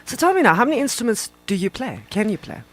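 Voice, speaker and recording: A man hosts a live radio interview, eager and prone to restarting his sentences. His voice sits high for a man. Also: very animated, quick pace, a bit bright. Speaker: radio host.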